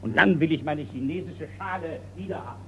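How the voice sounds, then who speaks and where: An actor playing an impatient, indignant retired colonel, older and gruff, in a radio crime play. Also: male voice, on the high side, brisk, slight background noise, close to an everyday voice. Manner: blustering, indignant. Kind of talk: radio crime drama acting. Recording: slightly muffled radio play recording.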